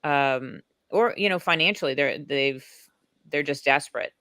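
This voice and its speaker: A woman's voice: sits low, moderate pace, pushed and bright, no echo, clean studio sound. An American woman, a guest on an online talk show, chatting casually and rambling with many restarts. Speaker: guest advocate.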